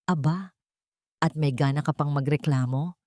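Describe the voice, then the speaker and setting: A woman's voice: deep voice, normal speed, mellow, no echo, clean studio sound, lightly acted. A woman voicing a cruel, haughty older mother-in-law in an audio drama, berating and contemptuous. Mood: scornful, berating.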